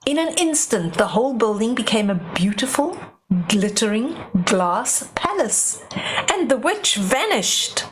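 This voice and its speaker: An older woman tells a children's story in a fairly high voice, taking her time. She reads dramatically and explains simply, with faint noise behind her. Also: big pitch swings, a little slow, a bit bright, low-quality recording. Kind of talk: storybook read-aloud with asides to young children.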